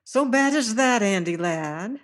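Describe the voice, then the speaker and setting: A reader voicing a fat, sly sailor man who talks in a soft, high-pitched, falsely friendly voice in old sea dialect. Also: expressive, measured pace. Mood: oily, wheedling.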